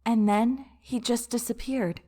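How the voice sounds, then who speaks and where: A woman playing a gentle, earnest young woman in a dramatic video game. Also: a little high, steady tone, unhurried. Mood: reflective.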